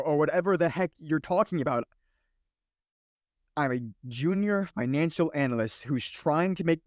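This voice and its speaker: A man playing a skeptical, bewildered office worker in his twenties in an audio play, halting and exasperated. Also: fairly high pitch, no room sound, studio quality, lightly acted. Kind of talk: naturalistic audio play acting.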